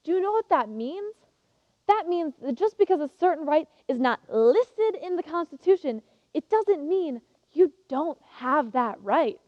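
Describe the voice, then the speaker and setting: A woman's voice: sits high, very animated, a little slow. A woman playing an eager, passionate teenage girl giving an earnest, emphatic contest speech in a theatrical monologue. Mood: earnest, excited. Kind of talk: theatrical monologue as prepared oration. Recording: muffled, reverberant hall recording.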